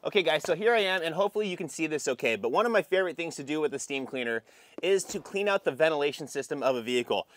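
Chatty man reviewing gear, slightly high voice. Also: expressive, rapid-fire, a bit bright. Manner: chatty, addresses viewers as guys, frequent fillers. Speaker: car detailing channel host. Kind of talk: casual product review vlog. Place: garage workshop, outdoors by a van, and a home hallway.

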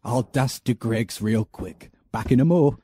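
A man playing a deadpan cheeky servant. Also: mid-range voice, a little slow, natural voice. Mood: casual. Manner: casual, deadpan. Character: laid-back cheeky male servant.

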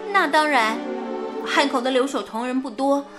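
An actress playing a quick-tongued, teasing woman who works at a radio station, in a radio drama. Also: high voice, music in the background, natural voice. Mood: concerned.

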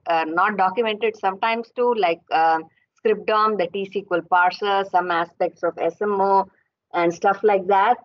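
A woman attendee asking questions conversationally and somewhat hesitantly over a video call. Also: medium pitch. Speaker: audience member asking a question. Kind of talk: conversational question in online meeting. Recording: dull online call audio.

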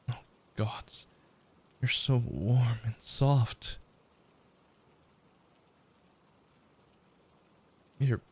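Man acting desperate lovesick yandere, halting and pleading. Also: medium pitch, dark tone. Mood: tender, anxious. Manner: halting, stammering, pleading, breathy sighs. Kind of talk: intimate ASMR roleplay.

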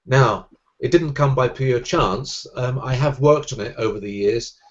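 A man in his forties with a Southern English Sussex accent, a magician speaking with crisp diction and quick energy in a casual video-call interview. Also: mid-range voice, muffled recording. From England. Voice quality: clear diction. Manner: fast, energetic talker, gestures while speaking. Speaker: magician guest. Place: room at home, indoors. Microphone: webcam on a video call.

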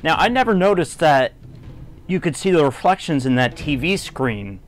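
A man giving casual, low-key gameplay commentary, musing to himself as he plays. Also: lively intonation, normal speed. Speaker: gamer doing a playthrough. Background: game music.

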